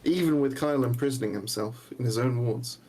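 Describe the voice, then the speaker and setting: A man casting a game, calling plays quickly with dry quips between them. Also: medium pitch, mellow. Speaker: esports caster. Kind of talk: play-by-play game commentary.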